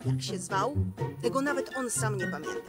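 A woman in her thirties telling a children's fairy tale in an expressive, theatrical, folksy storyteller's manner. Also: medium pitch, normal speed. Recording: music behind the voice. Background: music.

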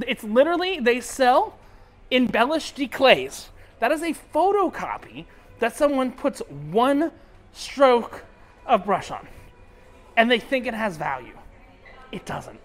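A man's voice: extremely high pitch, a bit bright. A tipsy man vlogging aboard a cruise ship, talking bluntly with plenty of swearing and sometimes dropping to a mutter. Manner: blunt, swearing, ranting, drops to a mutter at times. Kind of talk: casual walk-and-talk vlog.

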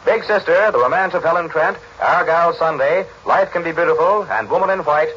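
A man reading news bulletins as a formal, deliberate 1940s radio announcer, on an old broadcast recording. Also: fairly high pitch, fairly bright tone, dull audio, a little hiss or hum.

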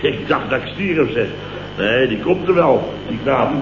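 A man's voice: medium pitch, expressive, a little slow, noisy background, close to an everyday voice. Man doing a blustering dutch company boss in an old radio comedy, clipped indignant storytelling, muffled and roomy. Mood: self-important. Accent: Dutch, from the Netherlands. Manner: clipped telegraphic sentences, mock-dramatic. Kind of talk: 1960s-70s radio comedy acting.

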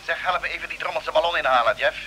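An urgent space crewman in a vintage radio serial. His voice is piercingly bright and quick, with faint noise on the old recording. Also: fairly high pitch, poor audio. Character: urgent male crew member on Mars expedition. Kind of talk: vintage Dutch radio drama acting.

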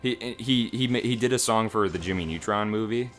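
A man's voice: fairly low pitch, fairly quick, a bit bright, soft background music. A young man chatting casually as co-host of a video game let's play, bantering crudely with plenty of swearing.

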